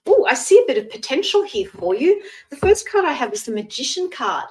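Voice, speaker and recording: A Scottish woman in her sixties giving a live tarot reading, chatting in a gentle, reassuring way and using terms of endearment. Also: sits high, big pitch swings, fairly quick, recorded from a distance, rough recording. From Scotland. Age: 60s. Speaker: psychic tarot reader.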